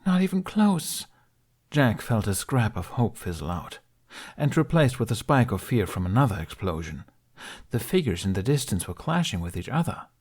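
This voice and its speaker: A man reading a fan fiction aloud as an audiobook, in soft, measured storytelling. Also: on the low side, big pitch swings, slightly rough. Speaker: podfic reader.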